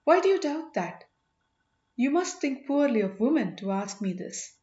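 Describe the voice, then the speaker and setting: A proud, strong-willed young princess acted by a woman reader speaks in a fairly high voice. She is indignant yet devoted, in audiobook dialogue recorded in an echoey room with slightly dull sound. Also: sing-song, normal speed, warm tone. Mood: defiant.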